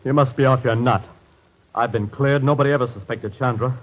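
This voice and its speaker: A man's voice: mid-range voice, a little fast, lightly acted. An actor playing an agitated, protesting young man in an old radio drama, urgent and indignant. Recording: dull lo-fi old radio recording.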